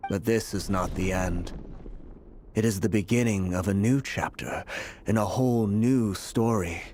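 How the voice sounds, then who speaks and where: An actor playing a weary, fatalistic blinded prophet in a video game, grave, deliberate and eloquent in his delivery. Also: lowish voice, darkish tone, slight background noise, close to an everyday voice. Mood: knowing, accusing. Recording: game dialogue with music behind.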